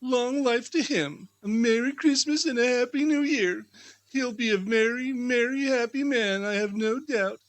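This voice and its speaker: A man voicing a fussy, sharp-tongued mother in a theatrical storybook reading, exclaiming and scolding. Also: very high-pitched, measured pace, voice pushed up. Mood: grudging.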